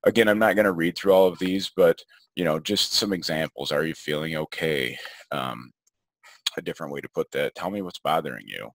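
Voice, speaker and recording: Very deep-voiced man giving a webinar talk. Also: a little fast, dry recording. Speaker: behavior health educator presenting. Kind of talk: informal webinar presentation over slides.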